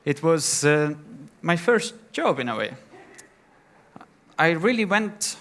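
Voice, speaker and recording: A man's voice: on the high side, very animated, a bit bright. Young Estonian man telling his story. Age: young adult. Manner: plain, slightly halting storytelling. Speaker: startup founder giving a talk. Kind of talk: conference talk. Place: conference stage.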